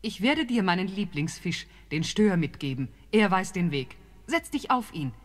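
Brief: a calm, wise fairy-tale helper, earnest tone. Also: female voice, fairly low pitch, normal speed. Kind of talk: radio play acting.

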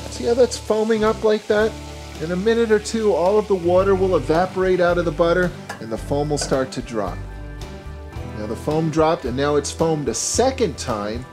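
A man in his forties hosting a cooking show, talking with playful enthusiasm straight to the camera. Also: fairly high pitch. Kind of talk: scripted cooking show presenting. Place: home kitchen set and grocery store. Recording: music bed behind voice. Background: background music.

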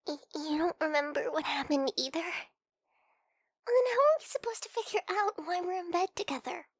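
A woman acts out a flustered young female friend in an intimate ASMR roleplay. Her voice is high and soft, with hesitant, stammering delivery. The sound is dry, with hardly any room in it. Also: moderate pace, no room sound. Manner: hesitant, stammering, soft-spoken with breathy whispered moments.

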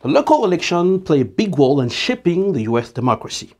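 Male TV host, middle-aged, animated intonation, formal interviewing. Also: fairly high pitch, quick pace. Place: indoor TV studio at a desk.

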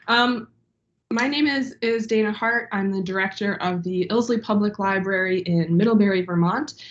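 A woman's voice: mid-range voice, muffled recording. A young woman with a stuffy, congested voice giving formal testimony as a library director over a video call, hesitant with many ums. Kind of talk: formal testimony at an online meeting. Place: home room, indoors. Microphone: video call webcam.

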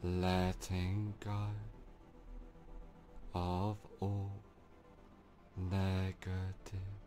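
Man, hypnotherapist, soothing drawn-out delivery, very slow, dark tone. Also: low voice, monotone, faint music behind. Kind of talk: guided sleep hypnosis.

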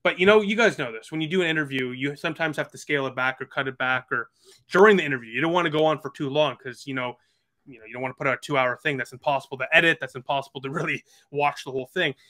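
A man's voice: medium pitch, very fast, pushed and bright. A man of about twenty, chatting earnestly as a podcast guest over a video call with lots of fillers. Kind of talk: casual video call chat.

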